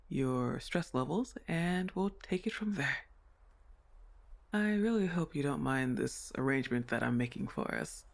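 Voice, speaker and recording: A man voicing a comforting sleep paralysis demon in an ASMR roleplay, reassuring and unhurried as he speaks to the listener like a therapist with a teasing streak. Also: mid-range voice, vocal fry, exaggerated voice. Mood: caring.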